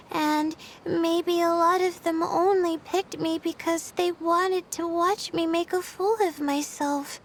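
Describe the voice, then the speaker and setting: A high, youthful game heroine, a studious young astrologer. She speaks softly and earnestly, with faint noise behind the voice. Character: anxious, sleepy young female student astrologer. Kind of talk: video game voice acting.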